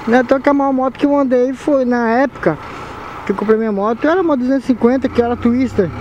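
Rambling Brazilian biker over road noise. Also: male voice, very high-pitched, quick pace, dull audio. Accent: Amazonas Brazilian Portuguese. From Manaus, Brazil. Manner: rambling, slangy, repeats himself. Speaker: motovlogger. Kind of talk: casual chat while riding. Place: outdoors on a motorcycle on city roads. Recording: loud road and wind noise.

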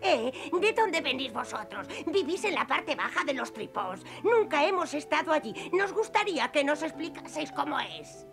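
A woman's voice: high-pitched, sing-song, moderate pace, few pauses, music in the background. A woman voicing a small, curious, chatty space creature in a Spanish cartoon dub.

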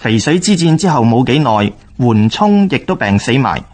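A man with a Hong Kong Cantonese accent reads scripted historical radio narration in a formal, measured way. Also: medium pitch, very animated, darkish tone.

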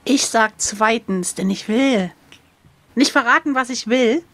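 A woman's voice: a little high, measured pace, a bit bright, no echo. A woman playing an adventure gamebook, chatting casually and thinking aloud in a playful way. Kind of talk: casual chat during a game.

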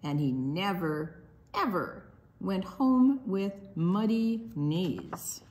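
A woman reading a children's picture book aloud, expressively and with deliberate dramatic pauses. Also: lowish voice, sing-song, darkish tone, a little hiss or hum. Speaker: storytime book reader.

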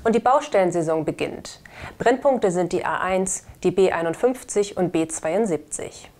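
A woman in her thirties presents scripted news in a studio. Her voice is slightly low for a woman, and she speaks as a presenter addressing viewers from prepared copy. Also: lively intonation, normal speed, a little mellow. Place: indoor studio. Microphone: lapel.

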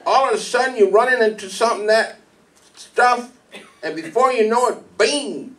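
Rustic town constable, played by a man: folksy old-radio delivery, high voice, picked up from afar in a hall. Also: fairly bright tone. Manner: rustic, halting. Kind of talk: 1940s radio drama acting. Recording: distant microphone in a hall.

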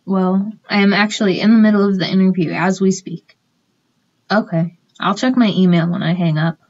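A woman's voice: mid-range voice, fairly even intonation, normal speed, a little mellow, lightly acted. A woman playing a busy, polite young interviewer in a radio play. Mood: polite, distracted. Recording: slightly muffled.

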